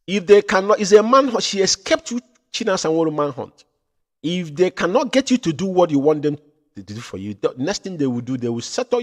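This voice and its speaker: A man hosting a political commentary show from home, building into loud, impassioned rants. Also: high voice. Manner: rises to loud impassioned ranting. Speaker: show host.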